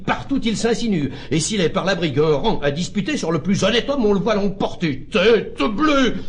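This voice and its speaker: An actor playing an indignant, uncompromising hater of humankind, declaiming classical French verse with vehement emphasis. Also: male voice, high-pitched. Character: indignant, uncompromising man who hates all humankind.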